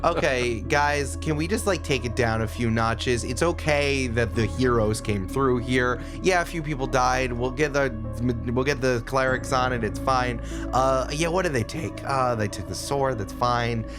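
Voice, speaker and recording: A man in casual, improvised chat as a podcast guest, riffing in a rambling, animated way. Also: medium pitch, a little slow, hardly any pauses, music in the background.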